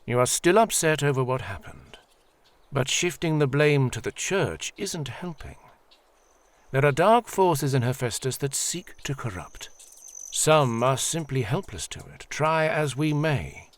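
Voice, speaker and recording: Guy doing fatherly high priest, solemn and measured, voice rises n falls a lot, fantasy audio drama. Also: lively intonation, lightly acted. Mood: firm, admonishing. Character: calm, paternal high priest, devout and placating.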